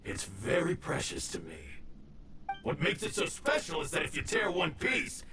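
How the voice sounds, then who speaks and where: A man's voice: sits high, wildly swooping pitch, moderate pace, echoey room, distant mic, faint music behind, full character voice. An actor playing a scheming male ronin gang boss who gloats and sneers. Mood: gloating. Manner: gloating, then panicked shouting.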